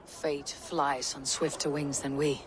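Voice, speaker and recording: A woman's voice: low voice, flat delivery, takes it slowly, noisy background. A woman acting a fierce, stoic Viking warrior in a game cutscene, with terse, formal speech. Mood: resolved.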